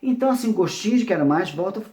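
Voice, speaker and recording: A middle-aged Brazilian man presenting informally, telling stories in an animated way and often rephrasing himself. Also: high voice, very fast. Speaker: presenter, music producer. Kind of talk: informal talk on music history. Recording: roomy, echoing sound.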